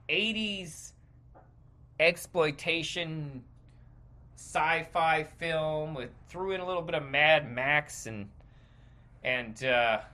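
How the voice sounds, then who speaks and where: Guy podcaster on a rambly sweary rant, bright punchy voice, really animated, stops and repeats himself. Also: fairly high pitch. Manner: sweary, opinionated. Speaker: podcast host. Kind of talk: casual stream-of-consciousness movie rant.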